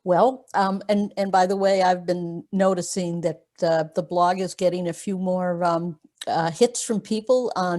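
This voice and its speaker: A woman's voice: on the low side, darkish tone. A woman hosting a video call interview, reading an introduction and then asking questions with frequent fillers. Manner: hesitant with many fillers when speaking freely. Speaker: blog host and interviewer.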